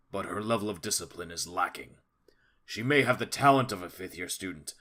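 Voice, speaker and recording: A stern instructor acted by a man with a very deep voice and a bright, forceful edge. He speaks formally and with clear disapproval, in the manner of an audio drama. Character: strict male instructor complaining about an undisciplined student.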